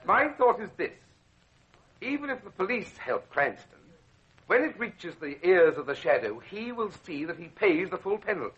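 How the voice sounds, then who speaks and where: An actor playing a suave, formal, pompous crime boss in an old radio drama, coolly scheming in a theatrical manner. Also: male voice, high-pitched, very animated, normal speed, fairly bright tone. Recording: dull vintage radio recording.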